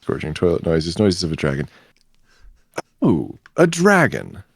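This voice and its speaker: A man's voice: sits low, huge pitch swings, unhurried, creaky, breathy edge, no echo, clean studio sound, full character voice. A man playing a whimsical wizard in a comic audio drama, theatrical with silly incantations. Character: whimsical male wizard brewing dog-based potions.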